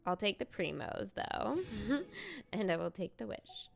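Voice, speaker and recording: A woman hosting a live game stream in casual, excitable chat, thinking aloud as she goes. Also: medium pitch, a little slow, creaky, music in the background. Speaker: livestream host, gamer.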